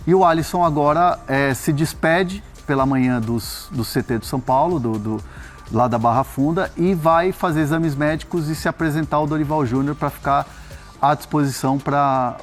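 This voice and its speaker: A Brazilian man speaking as a football reporter in casual panel chat, halting and repeating his words. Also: fairly high pitch, soft background music.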